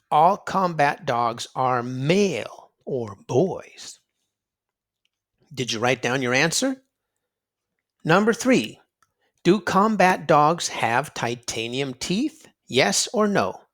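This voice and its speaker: Male children's read-aloud host. Slightly high register, highly varied intonation, theatrical emphasis and drawn-out key words. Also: big pitch swings, a bit bright.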